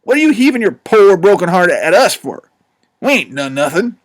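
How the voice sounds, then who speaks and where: Guy doing a bald old swindler preacher, folksy blustery drawl, low and sly. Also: sing-song, normal speed. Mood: scornful. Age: older. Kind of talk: audiobook character voice.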